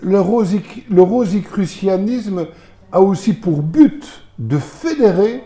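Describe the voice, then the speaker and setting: A man's voice: sits high, animated, takes it slowly, dark tone. A man with a Belgian French accent, a pastor preaching to a congregation and pressing them with rhetorical questions. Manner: fires rhetorical questions at listeners, emphatic.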